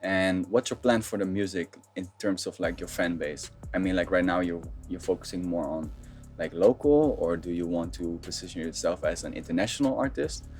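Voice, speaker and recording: A woman interviewing a singer in a casual chat, loose and joking, with frequent soft affirmations. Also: rumbling low, lively intonation, moderate pace, soft background music.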